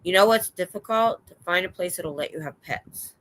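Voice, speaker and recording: An older American woman hosting a livestream, chatting in a rambling, excitable way. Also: lowish voice, moderate pace. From United States. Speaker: livestream host. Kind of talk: casual chat. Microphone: streaming setup.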